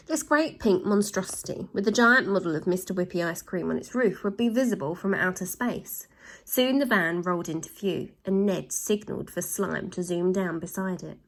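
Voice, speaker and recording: A woman narrating a children's book aloud in an animated storytelling style. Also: fairly low pitch, moderate pace.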